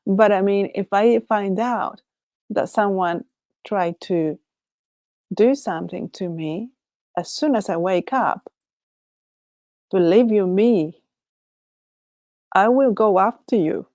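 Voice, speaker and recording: A woman with a Chilean Spanish accent chats alone to her livestream audience in a mid-pitched voice. She rambles, laughs often and trails off mid-thought. Her voice sits close and dry, with almost no room echo. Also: slow pace, pauses often, a little mellow. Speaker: livestream host. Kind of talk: casual solo livestream chat.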